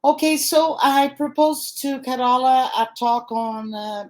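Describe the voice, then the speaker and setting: A woman's voice: sits high, unhurried. A woman with a Brazilian accent, a native Portuguese speaker, giving an academic lecture over a video call with frequent fillers and restarts. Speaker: guest lecturer.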